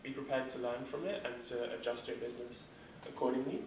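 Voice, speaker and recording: A man's voice: mid-range voice, fast talker, recorded from a distance, background noise. Man teaching in an echoey room. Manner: steady, monotone delivery with filler words. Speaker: workshop instructor. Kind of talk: informal teaching talk.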